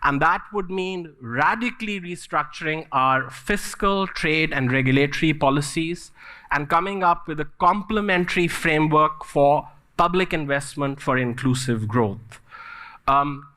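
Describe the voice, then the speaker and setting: A man's voice: a little high, measured pace, bright, forceful tone. A man giving prepared, emphatic remarks as a speaker on a policy panel.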